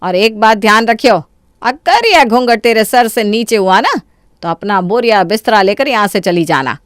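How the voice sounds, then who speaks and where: Woman acting a scolding, domineering mother-in-law, lively pitch. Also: normal speed, clean tone, no room sound, studio quality, natural voice. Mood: angry scolding. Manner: sharp, taunting, bossy delivery. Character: scolding, scheming middle-aged Indian mother-in-law, spiteful and domineering. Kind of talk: Hindi cartoon story dialogue.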